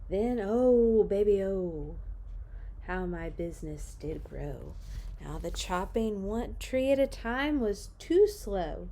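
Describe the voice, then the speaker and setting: A woman hosting children's story time, reading a storybook aloud with deliberate dramatic emphasis. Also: mid-range voice, expressive, mellow, a little hiss or hum. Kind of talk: expressive storybook read-aloud.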